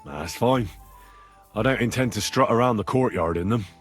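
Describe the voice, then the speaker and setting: An actor playing a plain-spoken, earnest young hero in a video game, with a dry, understated manner. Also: male voice, lowish voice, normal speed, faint music behind, natural voice. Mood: wry, subdued.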